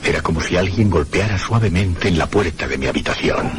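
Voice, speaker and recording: An actor playing a frightened man who recounts a night of eerie terror in a suspenseful, theatrical radio drama narration with deliberate pauses. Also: low voice, animated, noisy background. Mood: tense, hushed suspense.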